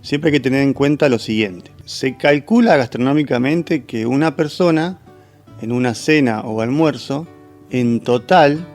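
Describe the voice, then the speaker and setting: A man's voice: mid-range voice, a little slow, a little mellow. A man explaining as a cook in a conversational, instructive way. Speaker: gastronomy professional, guest cook. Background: faint music.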